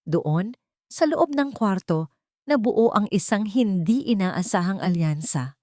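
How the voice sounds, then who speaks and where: A woman's voice: medium pitch, lively intonation, measured pace, a little mellow, no echo, studio quality. A woman narrating a scripted dramatic story in an earnest, suspenseful storytelling manner. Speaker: audiobook narrator.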